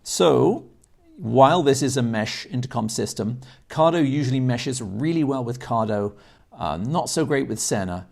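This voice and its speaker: A man's voice: mid-range voice, animated, normal speed, gravelly. A man in his sixties giving a casual unboxing and review talk to camera, chatty and explanatory, leaning on key points. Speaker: product reviewer. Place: indoors, garage-like room.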